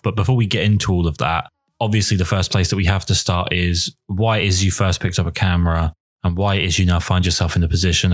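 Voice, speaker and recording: A man hosting a podcast, introducing his guest in an enthusiastic, flattering way. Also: low-pitched. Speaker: podcast host. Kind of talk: interview introduction.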